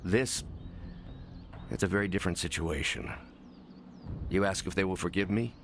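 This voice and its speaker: A gravelly, remorseful scientist. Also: male voice, low-pitched, a little hiss or hum. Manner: quiet, halting, soft-spoken. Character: remorseful gentle male scientist hero. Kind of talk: video game cutscene acting.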